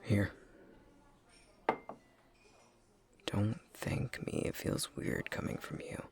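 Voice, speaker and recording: A man's voice: a little slow, a little mellow, close to an everyday voice. A man playing a prickly, grudgingly caring elf academic in an ASMR roleplay, with a curt, haughty, exasperated delivery that often drops into whispers. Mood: brusque, grudging.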